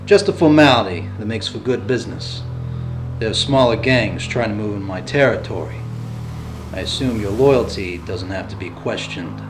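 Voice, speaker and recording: A man's voice: fairly low pitch, normal speed, lightly acted. A man playing a smug, commanding gangster boss in an audio drama, with an imperious, businesslike manner. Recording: music behind the voice.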